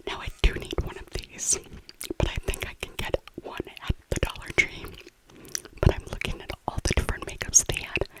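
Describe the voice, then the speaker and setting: Woman, middle-aged, breathy whisper, ASMR shopping voiceover, rambling, repeated phrases. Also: low-quality recording.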